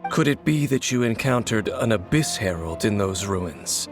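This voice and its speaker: An actor playing a mysterious, grave wanderer who hunts the Abyss, speaking in a measured and cryptic way in video game voice acting. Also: male voice, on the low side, animated, close to an everyday voice. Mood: solemn. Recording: game audio with loud music.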